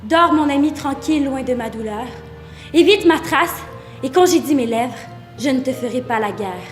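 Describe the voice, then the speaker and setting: A high female voice acted by a woman recites poetry with theatrical passion on stage. The room rings around the voice, and faint music sits in the recording. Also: flat delivery, a little fast, echoey room, close to an everyday voice. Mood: tender, sorrowful. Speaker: student stage performer. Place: echoey performance space.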